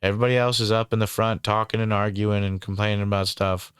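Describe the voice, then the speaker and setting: A man's voice: on the low side, level tone, fast talker, clean tone, dry recording, studio quality. A man hosting a casual interview, rambling and swearing freely, with lots of fillers. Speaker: interviewer and channel host.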